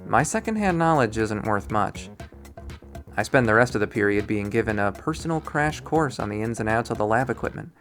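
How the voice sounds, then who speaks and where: A man's voice: on the low side, animated, a little fast, fairly bright tone. A man reading a story aloud as scripted narration, with a dramatic, wry delivery. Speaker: visual novel readthrough player and narrator. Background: background music.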